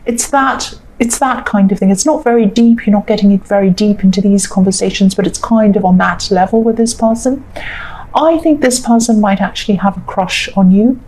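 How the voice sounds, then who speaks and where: A woman with a slightly croaky voice giving a casual tarot reading, repeating her advice and thinking aloud with fillers. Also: medium pitch, normal speed, a little mellow, a little hiss or hum, poor audio. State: croaky, coughing voice. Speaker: tarot reader.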